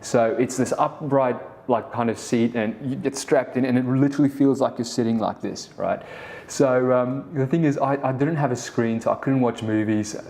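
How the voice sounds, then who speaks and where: A man's voice: mid-range voice, moderate pace, gravelly, darkish tone. A man in his thirties with a South African accent, Afrikaans his first language, hosting a casual solo podcast and telling a story with many restarts. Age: 30s. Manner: rambling, frequent restarts and "the thing is". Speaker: podcast host, business co-founder.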